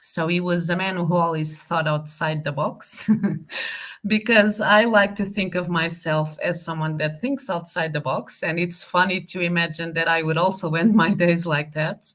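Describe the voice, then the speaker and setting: A woman's voice: on the low side, moderate pace, fairly bright tone. A woman from Portugal moderating an online group discussion on a video call, speaking in a hesitant, thoughtful way with many fillers.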